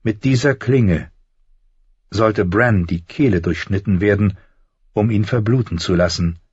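A man voicing an anxious, loving noble lady with a soft, earnest manner in an audiobook. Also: on the low side, measured pace, slightly rough. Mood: grave. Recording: dull, roomy audiobook recording.

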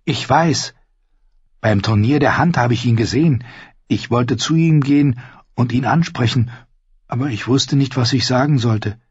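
A man's voice: mid-range voice, normal speed, a little mellow, breathy edge, no room sound, dull audio, voice pushed up. A man voicing a shy, courteous young noble squire in an audiobook, speaking in an earnest, polite way. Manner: polite, solemn, hesitant. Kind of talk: audiobook character voice.

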